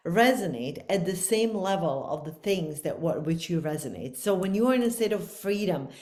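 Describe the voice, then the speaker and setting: A woman's voice: on the low side, lively intonation, moderate pace, darkish tone. A woman from Bosnia with a Bosnian accent, speaking earnestly and expansively as a podcast guest. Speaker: guest author. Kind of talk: casual podcast conversation.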